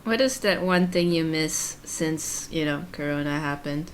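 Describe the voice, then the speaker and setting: A woman's voice: sits low, measured pace, a little hiss or hum. A woman in her twenties, a guest streamer who chats casually, asks a lot of questions and laughs often. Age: 20s. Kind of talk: casual chat.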